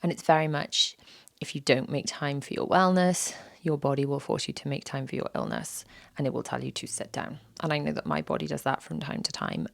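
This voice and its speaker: Croaky low-voiced mum. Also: female voice, no room sound. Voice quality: low and gravelly. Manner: candid, self-deprecating, repeats phrases for emphasis. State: croaky, sore-throated voice. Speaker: podcast host. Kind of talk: reflective solo podcast talk.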